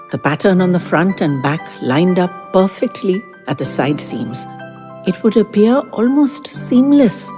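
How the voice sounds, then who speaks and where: A woman narrating a scripted story in an expressive, lively way. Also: fairly low pitch, breathy edge, in a large hall.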